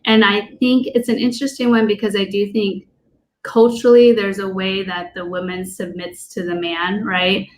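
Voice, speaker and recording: Woman born in taiwan raised in the us, lively, voice jumps up, mid pitch, faint hiss, video call. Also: normal speed. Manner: animated, pitch jumps up when making a point. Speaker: panel co-host. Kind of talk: casual panel discussion.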